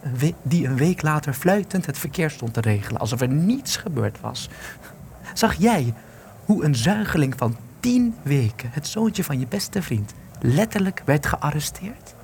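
A man's voice: fairly high pitch, big pitch swings, measured pace, darkish tone, slight background noise. An actor playing a bitter, haunted, agitated man in wartime hiding, speaking intensely and accusingly in a Dutch radio play. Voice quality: creaky. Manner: intense, emphatic, declamatory.

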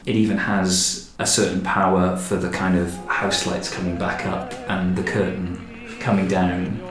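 A man's voice: sits low, little pitch movement, measured pace. A man in a conversational podcast discussion, talking in a reflective, explanatory way. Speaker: podcast guest or host discussing a production. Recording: roomy, echoey sound.

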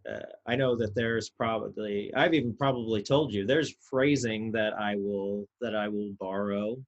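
A man's voice: on the low side, normal speed, muffled recording. A man in casual conversation, chatty and opinionated about music.

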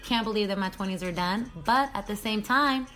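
A woman's voice: mid-range voice, fairly quick, sharp tone, soft background music. A woman in her thirties talking candidly in a casual personal vlog, chatty and loose with slang and the odd swear word. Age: 30s. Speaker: vlogger.

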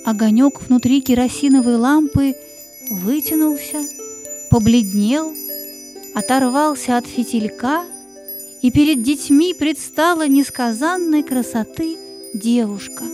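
A woman narrating a children's audio play as a scripted story, in an expressive, lyrical storytelling manner. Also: sits high, a little slow, faint music behind.

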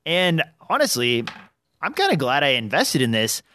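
A man's voice: mid-range voice, huge pitch swings, normal speed, pushed and bright, slight background noise. A man with a slightly nasal voice hosting a casual podcast, rambling with fillers, close on a dynamic studio mic. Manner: rambling, with many fillers and restarts. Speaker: podcast host. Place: small home studio. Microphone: studio dynamic microphone on an arm.

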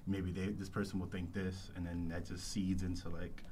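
A man's voice: low-pitched, little pitch movement, normal speed, dark tone, a little hiss or hum. A man who is a guest on a podcast, thinking aloud in a casual chat and repeating words as he restarts his sentences. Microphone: studio microphone.

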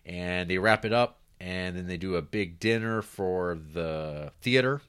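A man's voice: low voice, unhurried, a bit bright, clear, resonant tone. A man co-hosting a podcast, chatting casually about a film, swearing and acting out quotes. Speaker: podcast co-host.

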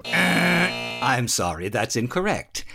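A man playing a curt, deadpan rabbit android revolutionary who coolly threatens people in an audio drama. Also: mid-range voice, slow pace, creaky, music in the background, heavily acted. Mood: coolly menacing. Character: menacing deadpan rabbit android revolutionary, male, running a game show.

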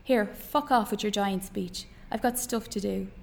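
A woman's voice: mid-range voice, moderate pace, creaky, close to an everyday voice. A woman reading aloud from a novel as a defensive, curt young Irish man who works as a labourer. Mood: irritated, defensive.

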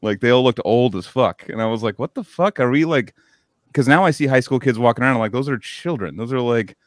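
A man in his thirties co-hosting a podcast, talking in an animated way with lots of swearing. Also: mid-range voice, fairly quick, no echo, studio quality. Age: 30s. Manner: animated, swearing, tells stories. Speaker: podcast host. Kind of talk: casual chat.

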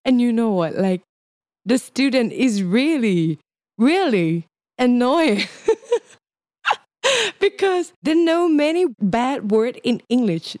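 A woman's voice: fairly high pitch, very animated, slow pace, pauses often, darkish tone, dry recording, studio quality. A young Thai woman with a Thai accent, speaking English as a second language in a casual, chatty interview and laughing often. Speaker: guest.

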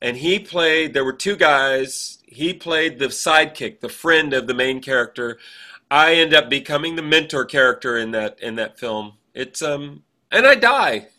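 A man's voice: a little high, lively intonation, measured pace, bright, forceful tone. A man co-hosting a podcast, chatting casually and reminiscing in a rambling, anecdotal way. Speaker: podcast co-host.

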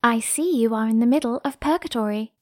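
A woman's voice: fairly high pitch, a little fast, dry recording, studio quality, close to an everyday voice. A woman playing a coquettish young lady in a classic novel reading, playful, arch and sweetly mocking. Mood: teasing.

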